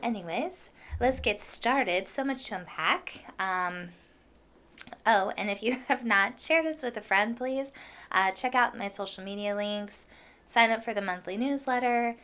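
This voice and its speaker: Hoarse-voiced woman hosting a podcast. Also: on the high side, a little slow, fairly bright tone. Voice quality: froggy, husky voice. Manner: chatty, with many fillers and laughs. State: hoarse from allergies. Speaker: podcast host. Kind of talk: casual solo podcast chat.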